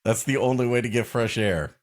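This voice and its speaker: A man's voice: medium pitch, brisk, a little hiss or hum. A man co-hosting a podcast, riffing comically, laughing often and swearing casually. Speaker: podcast co-host. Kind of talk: casual comedic banter.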